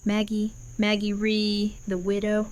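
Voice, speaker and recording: A woman's voice: medium pitch, monotone, a little slow, noisy background, natural voice. An actress voicing a grieving, determined woman leader who speaks firmly. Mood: resolute. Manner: firm, resolute.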